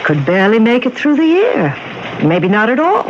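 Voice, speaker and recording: A woman's voice: a little high, very animated, normal speed. An actress playing a methodical, persistent woman detective in a 1970s radio drama. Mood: methodical, pointed. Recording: noisy, dull vintage AM radio recording.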